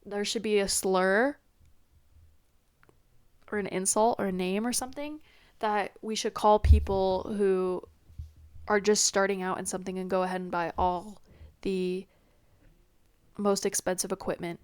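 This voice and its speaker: A woman's voice: mid-range voice, fairly even intonation, a little slow, long pauses, a little mellow, smooth, no echo. A young woman hosting her first podcast from a laptop, rambling casually in a scattered, self-deprecating way, her nose stuffed and congested. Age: young adult. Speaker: podcast host. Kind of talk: rambling casual vlog chat. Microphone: laptop built-in mic.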